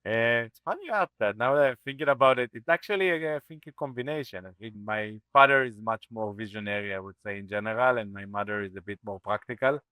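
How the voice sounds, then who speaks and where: A man in his thirties from Israel speaks as a startup founder on a podcast, thinking aloud as he answers. His voice is low-pitched but bright and crisp, and the sound is dry and close. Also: quick pace, hardly any pauses, no echo. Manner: thoughtful, reflective, with frequent restarts. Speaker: startup CEO guest. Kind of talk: podcast interview.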